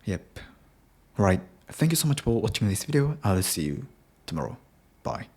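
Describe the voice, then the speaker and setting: A Japanese man speaking English with a Japanese accent over a British base, talking alone on his daily podcast in a halting way, often restarting and repeating phrases. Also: on the low side, lively intonation, darkish tone. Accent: Japanese-accented English aiming at British. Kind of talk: daily solo podcast talk.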